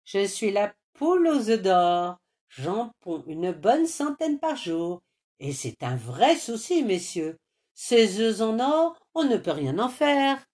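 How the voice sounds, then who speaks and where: A woman's voice: medium pitch, very animated, slow pace, darkish tone. A woman voicing a clever little hen girl who teases in a sly sing-song.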